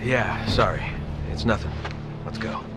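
A man's voice: on the low side, a little slow, natural voice. An American man playing a weary, resolute special agent in a video game, terse and grave. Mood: reserved. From United States. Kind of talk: cinematic game acting and narration. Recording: loud music bed behind.

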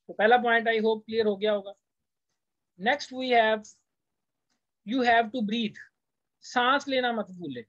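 A man's voice: high voice, dry recording. Pakistani man lecturing, dry and slightly dull recording. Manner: conversational, switches between languages to translate. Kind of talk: explanatory lecture, reading out English text and explaining it.

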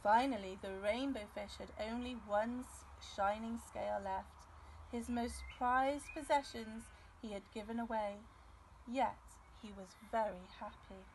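A middle-aged woman reading a storybook aloud to young children outdoors, expressive and deliberate. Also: fairly high pitch, low-quality recording. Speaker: nursery early years co-ordinator reading a story. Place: outdoors in a grassy garden by a field. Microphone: camera several metres away. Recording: outdoor ambient noise.

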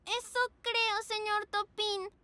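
A woman voicing a cheerful, eager little girl pug puppy in a preschool cartoon, childlike and excitable. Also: very high-pitched, takes it slowly, dry recording, heavily acted. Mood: nervous. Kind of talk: preschool cartoon dub acting. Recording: loud music behind the voice.

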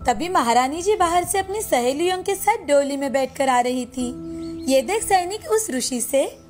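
A woman's voice: high-pitched, animated, normal speed, a little mellow. A woman narrator reading a scripted folktale. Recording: music bed behind the voice.

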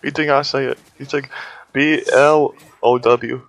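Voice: medium pitch, fairly even intonation, a little slow. A gamer chatting casually during play, teasing and joking with a friend. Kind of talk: casual chat while gaming.

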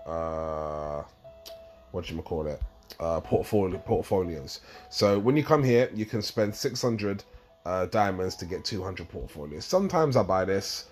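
Low-voiced croaky man explaining a game. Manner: rambling, explains step by step with fillers. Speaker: gaming channel host. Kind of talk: casual game tutorial walkthrough.

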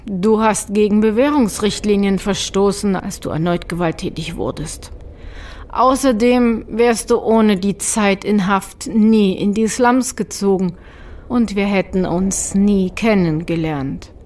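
A woman playing a gentle, level-headed troll in a fan audio drama, speaking in a measured, reasoning way. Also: medium pitch, natural voice. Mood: gently reproving.

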